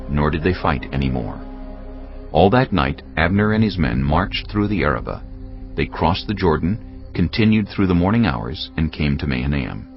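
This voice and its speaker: Deep, gravelly male Bible narrator. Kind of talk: scripted dramatized Bible narration. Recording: dull lo-fi audio with music behind. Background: faint music.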